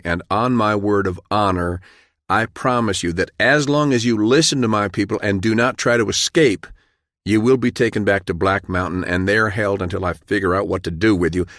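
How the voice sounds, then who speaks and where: Stern colonel, acted by a male reader: deep, forceful, used to giving orders, tired but decent, audiobook war story. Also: dry recording, clean studio sound, close to an everyday voice. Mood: firm, authoritative. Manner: commanding, clipped, forceful. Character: stern, battle-weary male ex-army colonel, commanding but principled.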